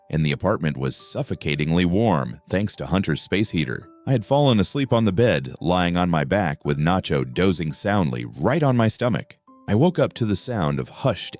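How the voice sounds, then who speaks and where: Man, low voice, animated narration, wry storytelling, faint background music. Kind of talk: first-person audio story narration.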